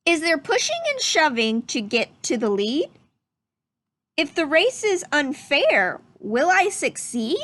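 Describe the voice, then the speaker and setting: High-pitched, curious little boy, read by a woman. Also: sing-song, takes it slowly, a bit bright, exaggerated voice. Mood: earnest wondering. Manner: questioning, rising phrasing. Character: curious little boy full of earnest questions. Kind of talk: storybook read-aloud.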